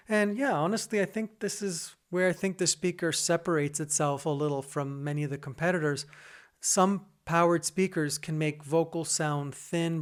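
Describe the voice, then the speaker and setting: Relaxed man reviewing gear in a studio. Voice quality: bassy voice. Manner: conversational, addresses viewers as "guys". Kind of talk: casual product review, partly scripted. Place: home studio.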